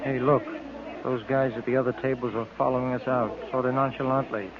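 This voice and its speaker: An actor playing a worried, wisecracking detective's sidekick in 1940s radio style. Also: male voice, medium pitch, little pitch movement, fairly quick, crowd noise, close to an everyday voice. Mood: wry, worried. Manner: wry, worried. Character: uneasy, wisecracking adult male detective's sidekick. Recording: muffled old radio recording.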